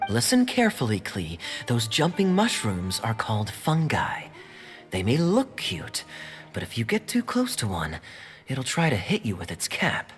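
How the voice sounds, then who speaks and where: A suave male knight captain from a video game. His voice leaps playfully up and down, wry and teasing, with clear music behind it. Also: medium pitch, huge pitch swings, unhurried. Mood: intrigued. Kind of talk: video game cutscene acting.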